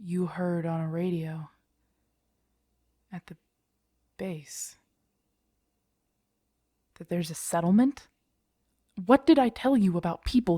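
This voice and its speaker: Guarded, husky young survivor played by a woman. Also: on the low side, no echo, studio quality. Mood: wary. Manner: hushed, urgent, halting delivery. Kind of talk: intimate audio roleplay acting.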